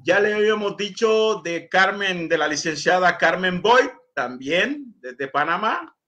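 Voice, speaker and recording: Brief: middle-aged Honduran male host, high bright voice, courteous, reads viewer comments on a video call. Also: lively intonation, measured pace. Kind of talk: interview, reading viewer messages.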